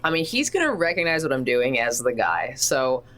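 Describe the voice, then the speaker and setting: A woman playing a tabletop roleplaying game, chatting casually and thinking aloud in an animated way. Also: very deep voice, normal speed. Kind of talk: casual improvised roleplay chat.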